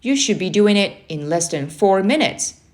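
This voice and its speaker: A woman's voice: medium pitch, animated, normal speed, lightly acted. A woman voicing a stern, demanding military uncle in a children's storybook, curt and commanding. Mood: firm, demanding. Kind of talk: children's storybook character reading.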